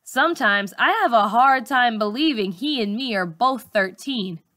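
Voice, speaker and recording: A woman reading a first-person story aloud in an expressive, dramatic teacher's storytelling style. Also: fairly high pitch, moderate pace.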